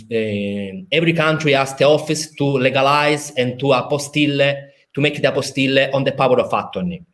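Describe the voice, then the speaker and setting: Italian-accented man, halting legal explanation. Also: mid-range voice. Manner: halting, many fillers and restarts. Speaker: lawyer. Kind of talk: explaining a legal procedure in a live stream. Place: in a city in Italy, at home or office.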